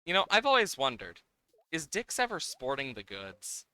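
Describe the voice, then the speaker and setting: A man's voice: a little high, huge pitch swings, normal speed, sharp tone, clean tone, no echo. A man livestreaming a game, talking casually and playfully as he thinks aloud in a rambling way. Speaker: livestreamer, host. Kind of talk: casual live game-stream chat, reacting to game and viewers.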